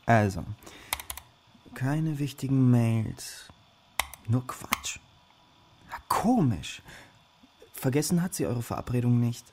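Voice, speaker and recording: An actress playing a blunt, scornful young female flatmate, offhand and irritated, in a radio drama. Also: very deep voice, slow pace, pauses often, a little hiss or hum, heavily acted.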